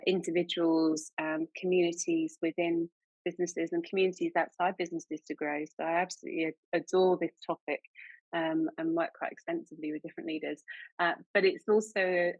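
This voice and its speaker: A woman's voice: on the low side, little pitch movement, moderate pace, no room sound. Eager woman host, dull dry sound. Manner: enthusiastic, chatty, with restarts. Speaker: podcast host. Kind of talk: podcast interview.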